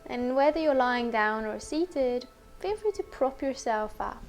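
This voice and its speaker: A woman teacher leading a guided meditation in a gentle, unhurried, conversational way. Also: high voice, lively intonation, soft background music.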